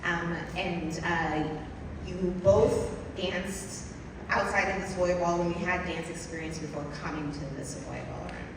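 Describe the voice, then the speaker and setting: A woman with a slightly low voice interviews her guests, hesitant and filling pauses with short acknowledgements. She sounds far from the mic in a large, strongly echoing hall with noticeable background noise. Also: steady tone. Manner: hesitant questions, many fillers and short acknowledgements. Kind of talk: panel interview.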